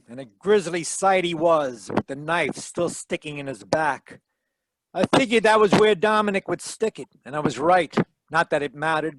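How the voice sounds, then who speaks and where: A man playing a wry, breezy, smooth-talking private detective in 1940s radio style, with theatrical flowery phrasing. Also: fairly high pitch, natural voice, rough recording.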